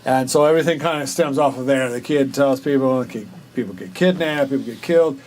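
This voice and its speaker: A man co-hosting a review show, talking casually and off the cuff, explaining things in a halting, hedging way. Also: medium pitch, a little mellow, a little hiss or hum. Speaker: co-host of a film and TV review show. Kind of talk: casual unscripted review chat.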